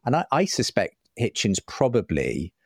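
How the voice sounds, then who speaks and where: A man's voice: fairly low pitch, wildly swooping pitch, moderate pace, dry recording, studio quality. A man speaking as an interview guest, articulate and reflective, in a conversational style. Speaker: podcast guest, writer and broadcaster.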